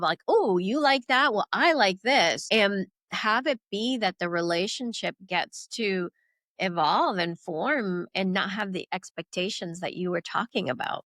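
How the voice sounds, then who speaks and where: A woman from Venezuela speaks as a guest in an unhurried spiritual conversation. She is reflective and loose, with a middling voice. Her voice is recorded close and dry. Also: mid-range voice, fairly bright tone, dry recording.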